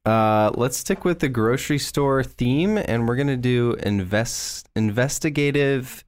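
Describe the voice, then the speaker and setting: A man's voice: mid-range voice, a little slow, clear, resonant tone, no room sound. A man co-hosting a podcast in casual, joking banter, with a dry, mock-earnest delivery. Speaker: podcast co-host.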